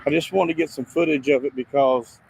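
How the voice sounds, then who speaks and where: A man narrating casually on location as a video streamer, speaking haltingly with pauses between phrases. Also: a little high, steady tone, creaky. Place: outdoors by a road and woods. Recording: outdoor noise, distant freeway. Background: freeway and ambient outdoor noise.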